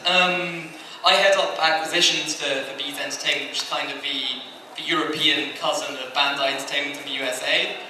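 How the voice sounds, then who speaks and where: A man giving an informal, self-deprecating, rambling talk as a guest on a convention panel. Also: a little high. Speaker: anime acquisitions head, panel guest. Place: large convention panel room. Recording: roomy echo, distant mic, background noise.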